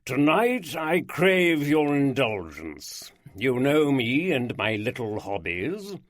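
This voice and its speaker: A man playing a flamboyant aristocratic lord with a rich baritone and an aristocratic drawl, speaking in slow, grand, emphatic phrases. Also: sing-song, no echo, clean studio sound. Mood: grandiose.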